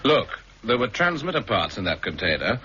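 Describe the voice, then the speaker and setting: A man playing a sharp, inquisitive investigator in a 1960s radio drama, asking probing questions and giving short, clipped replies. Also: mid-range voice, big pitch swings, moderate pace. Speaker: investigator on the island. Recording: dull archive radio recording with faint hiss.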